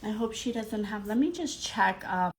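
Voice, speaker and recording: A woman's voice: mid-range voice, expressive, moderate pace. Bubbly congested woman hosting a live stream. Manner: bubbly, greets viewers by name, fillers. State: congested, slightly nasal. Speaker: podcast host. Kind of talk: casual live-stream chat.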